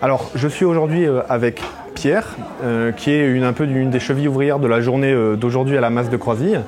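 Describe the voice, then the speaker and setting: A man's voice: medium pitch, a little fast, very noisy. A man interviewing people casually on site for local media, with an encouraging way of responding.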